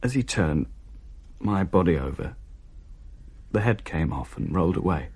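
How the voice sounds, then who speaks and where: A man's voice: sits low, animated, unhurried, very noisy. An actor playing a shaken man who recounts a horrifying haunting in a dramatic radio play. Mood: horrified, haunted. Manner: hesitant, haunted, dramatic storytelling. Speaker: narrator and character in radio play.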